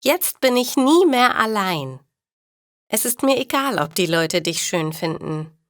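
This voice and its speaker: Woman voicing an affectionate, excitable young girl. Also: mid-range voice, measured pace, dry recording, studio quality. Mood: joyful, devoted.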